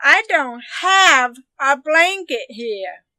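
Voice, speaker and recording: Casting: a woman as a small hesitant boy for a storybook, bright, high, gently protesting. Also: big pitch swings, very slow, no room sound, full character voice. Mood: plaintive. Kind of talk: children's storybook character voice.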